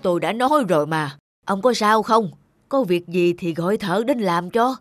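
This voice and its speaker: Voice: medium pitch, expressive, moderate pace, a bit bright, natural voice. Fussing, caring old grandmother played by an actress. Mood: worried. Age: older. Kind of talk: animated film dialogue. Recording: music under the dialogue.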